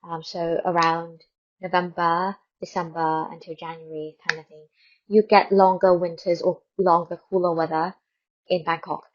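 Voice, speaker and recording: A woman's voice: on the low side, little pitch movement, normal speed, muffled recording, a little hiss or hum. A woman from Thailand hosting a radio programme, chatting casually and informally in a rambling way, repeating words for emphasis. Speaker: radio host. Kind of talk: casual radio chat and news update.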